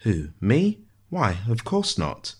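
A man's voice: mid-range voice, huge pitch swings, takes it slowly, husky, pitched above the performer's own voice, heavily acted. Man doing a bantering sing-song jailer. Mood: teasing. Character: teasing, good-humoured male jailer with sing-song routine.

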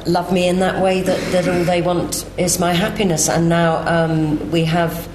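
A woman's voice: on the low side, level tone, a little slow. A woman panelist telling a heartfelt personal story at a live event. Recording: echoey room, faint background noise.

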